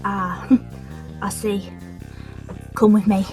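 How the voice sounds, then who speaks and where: A hushed, secretive bar contact. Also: female voice, flat delivery, extremely slow, over music. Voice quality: hushed, low-voiced.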